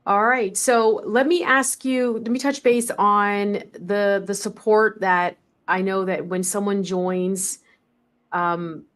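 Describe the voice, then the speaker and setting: A woman from the American South hosting a casual podcast interview. Her voice is mid-pitched, and she chimes in with eager affirmations and friendly questions. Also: fairly even intonation. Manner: enthusiastic, quick affirmations. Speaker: podcast host.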